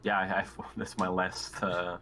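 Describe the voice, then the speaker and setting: A man's voice: deep voice, level tone, measured pace, a crowd in the background. A man chatting casually as a player in an online tabletop roleplaying game. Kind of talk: casual chat during online gaming. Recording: noisy online voice call.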